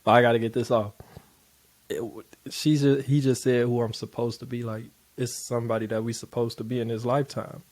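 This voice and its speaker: Man, reaction host, casual blunt commentary, profane slang, average-pitched voice. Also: little pitch movement, a little fast, a little mellow. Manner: loose, blunt, profane street talk.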